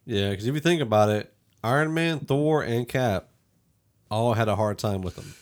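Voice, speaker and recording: A man's voice: medium pitch, expressive, moderate pace. A man hosting a casual film discussion podcast, talking in a conversational, opinionated way. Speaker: podcast host.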